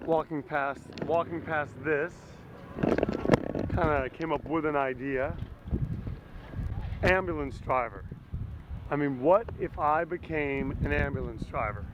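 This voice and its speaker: A man playing a dejected broadcaster who has just lost his job, talking to himself haltingly outdoors with his voice going hoarse, over clear street noise. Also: on the high side, highs cut off, natural voice. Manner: halting, repeats himself, talks to himself. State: losing his voice. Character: dejected male broadcaster in midlife, self-doubting. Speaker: actor playing a fired sports broadcaster. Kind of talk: improvised-sounding dramatic monologue.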